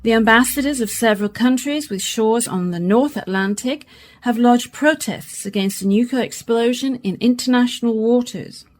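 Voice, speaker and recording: Woman acting as a lady news reporter, radio drama, highish voice, broadcast style. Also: normal speed, natural voice. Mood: formal. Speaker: reporter and newsreader.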